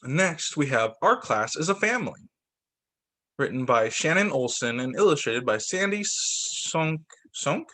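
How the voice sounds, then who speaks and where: Guy teacher doing story time, higher voice, really lively reading, chats about the pictures. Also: measured pace. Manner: expressive, comments aloud on the pictures. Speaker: teacher reading aloud to young students. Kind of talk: picture-book read-aloud with off-the-cuff remarks.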